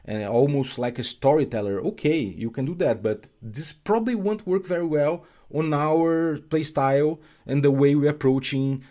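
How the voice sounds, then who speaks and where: A man's voice: a little high, expressive, dark tone. A man from Brazil with a Brazilian Portuguese accent, a game designer talking in a casual podcast conversation, often stopping and restarting his sentences. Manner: halting, many restarts and fillers.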